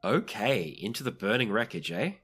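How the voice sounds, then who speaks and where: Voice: mid-range voice, very animated, normal speed, a bit bright, slightly rough. A game master running a tabletop role-playing game, narrating scenes and calling for rolls in a conversational way.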